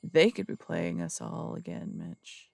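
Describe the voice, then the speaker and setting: A man's voice: on the high side, very animated, measured pace, gravelly, a little mellow, no room sound, studio quality, full character voice. A man playing a blunt, distrustful city detective who speaks in curt, suspicious questions. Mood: accusing. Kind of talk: audio roleplay drama acting.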